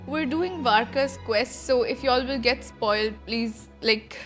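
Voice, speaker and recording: High-voiced, unhurried young woman, emotional livestreamer. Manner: emotional, gushing, informal. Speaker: streamer reacting to a game. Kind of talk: casual livestream reaction chat. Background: faint game music.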